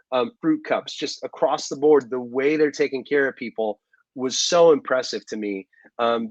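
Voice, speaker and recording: A man's voice: mid-range voice, dry recording. A man in his thirties, an actor being interviewed, talking at length in a chatty, rambling way with many ums and restarts, over a video call from his living room. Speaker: actor guest. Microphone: video call microphone. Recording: dull, dry home video call.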